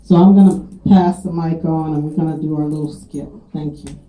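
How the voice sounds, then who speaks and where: A woman speaking casually and warmly to a gathering of friends and guests, thanking them and encouraging them. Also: sits low, steady tone, brisk, echoey room, noisy background. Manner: heartfelt, folksy and encouraging. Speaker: event host thanking guests. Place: community event with guests.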